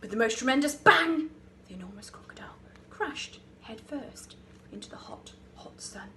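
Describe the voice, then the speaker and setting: A woman reading a children's story like an infant school teacher, expressive and with dramatic emphasis. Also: fairly high pitch, normal speed, in a whisper.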